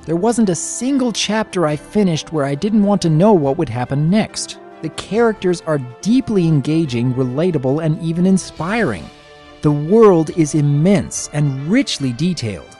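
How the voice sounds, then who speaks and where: A man's voice: fairly high pitch, big pitch swings, normal speed, warm tone, soft background music. A man giving scripted review commentary as a guest reviewer.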